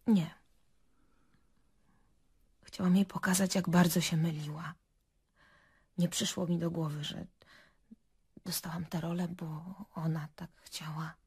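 An actress playing a nervous, bitter murder suspect in a radio drama, speaking in a halting, defensive and emotional way. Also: female voice, lowish voice, fairly even intonation, darkish tone, heavily acted. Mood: defiant, hurt. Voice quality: husky, breathy. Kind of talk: Polish radio drama acting.

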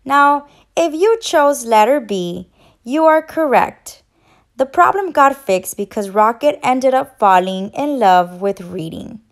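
Casting: female teacher for kids' read-aloud, high voice, very unhurried, encouraging and instructional. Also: lively intonation. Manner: instructional, encouraging, addressing young children. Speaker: teacher and children's story reader. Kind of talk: children's read-aloud and quiz.

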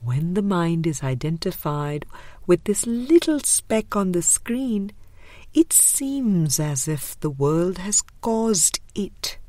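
A woman reading a spiritual book aloud as scripted narration, in a measured, deliberate way with pauses. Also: lowish voice, very animated, warm tone, no echo. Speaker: audiobook reader.

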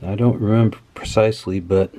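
A man with a low-pitched voice talks casually through a hands-on demonstration. He is measured and matter-of-fact, explaining things off the cuff as the host of a workbench video. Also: steady tone, gravelly, mellow. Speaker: host of an electronics teardown video. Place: indoor workbench.

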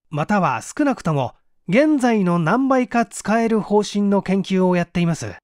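Casting: man as earnest investigator-scientist for a storybook mystery; emphatic, lively, highish voice. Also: no echo, clean studio sound. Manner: urgent, emphatic, persuasive. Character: earnest, bold young male researcher-detective.

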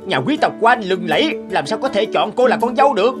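A man's voice: high voice, expressive, fast talker, over music. A man voicing a haughty young servant of a noble house in a cartoon, exclaiming with scorn. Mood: scornful. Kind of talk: cartoon folk tale acting.

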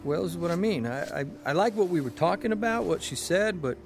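Wry, gravelly folksy newspaperman. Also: sits high, lively intonation, fairly quick, faint music behind. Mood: musing. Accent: American. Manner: hesitant, folksy, dryly joking. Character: wry, folksy 1940s newspaper columnist, gentle husband. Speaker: actor in an audio drama. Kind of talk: naturalistic period radio drama.

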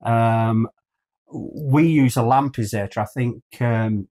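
A British man in his fifties co-hosts a casual chat show. His voice sits at an ordinary pitch. He rambles along in an enthusiastic, conversational way. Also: slow pace, darkish tone. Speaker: co-host. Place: small furnished room, indoors.

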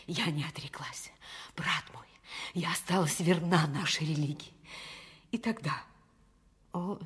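An actress playing a seductive villainess who poses as a helpless, persecuted victim, imploring theatrically between whispers and cries in a radio drama. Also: female voice, fairly low pitch, slightly rough. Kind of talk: classic radio drama acting.

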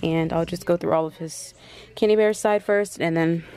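A woman's voice: fairly low pitch, fairly even intonation, moderate pace, dark tone. A young adult woman chatting casually to a vlog camera, thinking aloud informally as she tidies at home. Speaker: home vlogger. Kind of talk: casual vlog chat while tidying. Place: small indoor home rooms: closet, bedroom, bathroom. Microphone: handheld or tripod vlog camera. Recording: clear background noise with handling clicks.

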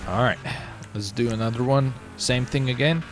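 A man's voice: medium pitch, moderate pace, over music. A man doing casual commentary during an online roleplay game, given to swearing and exclamations. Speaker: gaming streamer.

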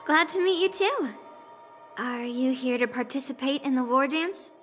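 High-pitched brash young swordswoman. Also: animated, normal speed, fairly bright tone, soft background music. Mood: curious, casual. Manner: cocky, casual, blunt. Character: brash, hot-tempered young swordswoman girl. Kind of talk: video game voice acting.

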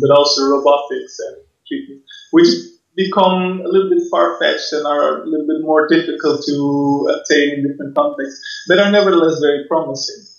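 Flat-voiced Belgian physio on a roomy line. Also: male voice, mid-range voice. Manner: measured, explanatory, frequent fillers. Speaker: physiotherapist guest. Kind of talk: online course interview. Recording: roomy, dull lo-fi sound.

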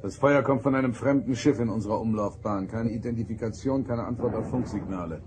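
A man's voice: fairly low pitch, brisk, noisy background, natural voice. A man playing a stern, authoritative starship captain in a science fiction audio drama, giving clipped orders. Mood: composed, resolute. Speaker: voice actor.

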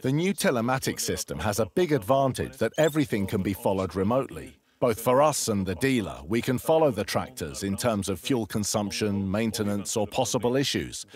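Farmer, low lively voice. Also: male voice, fairly quick, few pauses. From Belgium. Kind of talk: customer testimonial interview.

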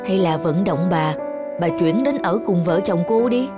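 A woman's voice: on the low side, expressive, a little slow, loud music behind the voice. A woman voicing a friendly female neighbour in a cartoon, chatty and sympathetic.

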